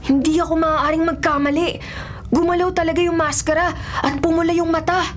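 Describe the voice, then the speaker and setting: A woman's voice: extremely high pitch, fairly even intonation, brisk, a little hiss or hum. A woman playing a frightened, curious young boy in a radio horror drama, with an earnest, pleading childlike manner. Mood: anxious, pleading. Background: background music.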